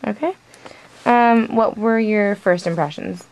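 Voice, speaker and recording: A woman's voice: mid-range voice, unhurried, a little mellow, rough recording. A young woman conducting an informal interview, asking questions in a hesitant, casual way full of fillers. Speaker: student interviewer.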